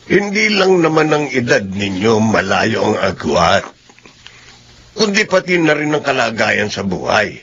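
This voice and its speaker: Gravelly, husky older father played by a man. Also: fairly low pitch, sing-song, unhurried, fairly bright tone, dull audio, exaggerated voice. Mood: disapproving. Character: worried, disapproving working-class father of a grown son. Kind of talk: radio drama acting.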